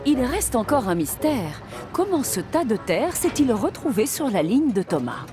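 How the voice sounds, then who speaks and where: A woman's voice: on the high side, big pitch swings, normal speed, music in the background. A woman reading scripted narration for a children's train cartoon. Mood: intrigued.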